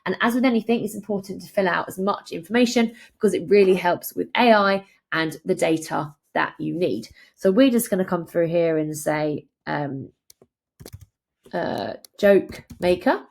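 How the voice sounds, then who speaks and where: A woman in her thirties presenting a software tutorial as a conversational, step-by-step walkthrough. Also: on the low side, normal speed, vocal fry.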